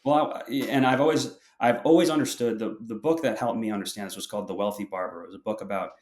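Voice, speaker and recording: Young man, podcast guest, very deep voice, explanatory, restarts sentences, echoey room. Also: quick pace, darkish tone. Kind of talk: interview answers.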